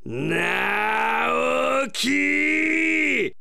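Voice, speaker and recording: A man's voice: extremely high pitch, drawn-out words, creaky, pushed and bright, pitched above the performer's own voice, heavily acted. A man playing a hot-tempered male department boss in a radio drama, with explosive, blustering scolding. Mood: furious. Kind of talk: melodramatic radio drama acting.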